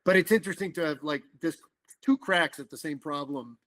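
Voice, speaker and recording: Middle-aged guy from the dominican republic, kinda high voice, rambly and animated, dry sound. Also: brisk, dry recording. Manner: animated, rambling, frequent fillers and restarts. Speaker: political organizer guest. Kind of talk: casual podcast conversation.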